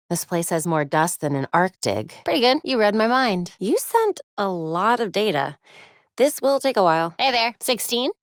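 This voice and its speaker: An actress playing a young, determined vigilante superheroine in a video game, with an American accent, caring and dryly witty in an earnest, conversational way. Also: female voice, medium pitch, dry recording, clean studio sound, natural voice. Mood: caring, businesslike. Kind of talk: scripted game voice lines.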